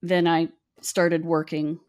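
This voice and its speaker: A woman's voice: deep voice, level tone, slow pace, a little mellow, clear, resonant tone. A middle-aged woman from Virginia, telling casual, self-deprecating anecdotes in conversation. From West Virginia. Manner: chatty anecdotal storyteller, self-deprecating, laughs easily. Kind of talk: casual chat.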